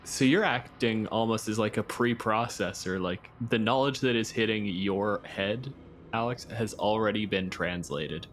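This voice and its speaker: A man's voice: fairly low pitch, a little slow, a little hiss or hum. A man discussing a tabletop game casually, in an articulate, analytical way. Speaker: tabletop game participant and academic. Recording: music playing behind the voice.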